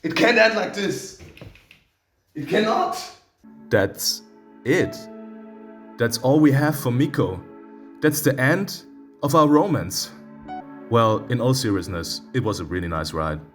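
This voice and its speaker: A man playing through a visual novel as a streamer, excitable and theatrical, reacting with real emotional investment. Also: medium pitch, slow, long pauses, soft background music. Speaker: let's play streamer. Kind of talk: visual novel playthrough, reading aloud and reacting.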